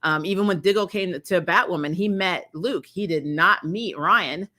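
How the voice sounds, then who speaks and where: Female reviewer, lowish forceful voice, casual and emphatic, unfiltered opinions with the odd curse. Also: normal speed. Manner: blunt, mildly sweary. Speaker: host of a fan review channel. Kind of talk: casual opinionated trailer commentary.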